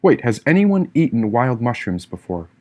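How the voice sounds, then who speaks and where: A man's voice: mid-range voice, sing-song, moderate pace, dark tone, lightly acted. A man voicing a free-spirited, teasing young woman in an audiobook, with a breezy, irreverent manner. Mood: curious, playful.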